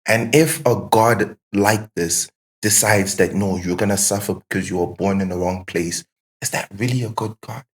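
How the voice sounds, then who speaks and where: Male podcast guest, lowish pitch. Casual, reflective, questioning delivery with emphatic repetition. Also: moderate pace.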